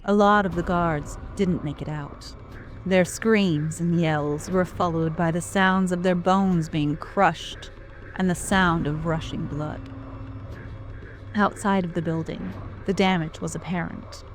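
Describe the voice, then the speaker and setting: Slow, grave woman narrator. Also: fairly low pitch, fairly even intonation, slight background noise. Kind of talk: scripted narration.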